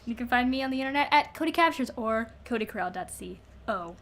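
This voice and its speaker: A woman's voice: on the high side, expressive, quick pace, slight background noise. A young adult woman co-hosting a podcast in casual comedic chat, playful and slangy, given to exaggeration and repeating words for emphasis. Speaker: podcast co-host.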